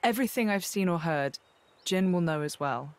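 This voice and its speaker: A woman's voice: on the low side, animated, normal speed, natural voice. An actress playing an informal, earnest young woman adventurer in a game cutscene. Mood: pleading. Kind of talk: video game cutscene acting.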